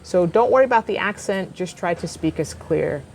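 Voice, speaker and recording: A woman hosting an interview as an English teacher, asking questions and giving viewers clear, encouraging advice. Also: fairly low pitch, expressive, normal speed. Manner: encouraging, warm backchannels, clear teacherly delivery. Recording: faint background noise.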